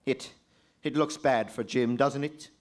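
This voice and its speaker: An actor playing an anxious, loyal friend pleading earnestly in an old-time radio drama. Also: male voice, medium pitch, measured pace, close to an everyday voice. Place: live stage performance at a convention.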